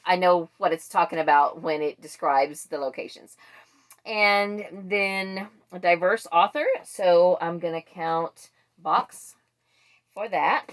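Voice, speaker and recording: A woman book vlogger chatting casually and thinking aloud as she goes. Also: lowish voice. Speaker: book vlogger presenting a monthly reading wrap-up. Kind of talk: casual chat to camera.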